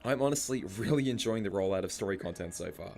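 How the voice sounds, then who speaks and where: Guy w lowish voice doing a story explainer voiceover, thoughtful and measured, music playing behind. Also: animated, vocal fry, darkish tone. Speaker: video essay narrator. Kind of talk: scripted story explainer narration. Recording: music under the voice. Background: background music.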